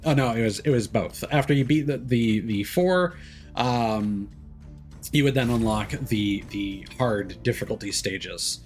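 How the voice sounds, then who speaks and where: A man giving casual live game commentary, rambling and thinking aloud with hesitant pauses. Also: mid-range voice. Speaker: game streamer. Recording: game music behind the voice. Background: game music.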